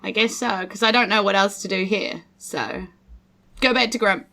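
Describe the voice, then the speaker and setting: A woman's voice: medium pitch, normal speed, sharp tone. A woman with a New Zealand accent chatting casually as a player in a tabletop game.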